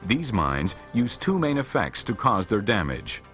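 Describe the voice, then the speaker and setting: A man reading scripted instructional narration for a military training film in a measured, formal, matter-of-fact way. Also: fairly low pitch, sing-song, a bit bright, faint music behind.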